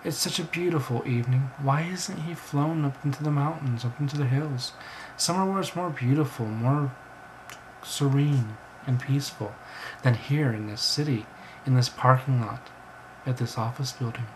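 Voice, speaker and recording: A man's voice: mid-range voice, normal speed, slight background noise, lightly acted, poor audio. A man playing a tired, skeptical office worker in a story, puzzled and dismissive, in an amateur recording. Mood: wistful musing. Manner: puzzled, dismissive, musing to himself. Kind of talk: storybook character voice.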